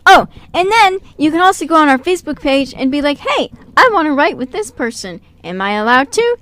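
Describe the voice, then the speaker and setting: A woman's voice: sits high, big pitch swings, fairly quick, a bit bright. A young woman with a giggly, playful manner, chatting casually as the host of a radio show in a studio. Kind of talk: casual group chat.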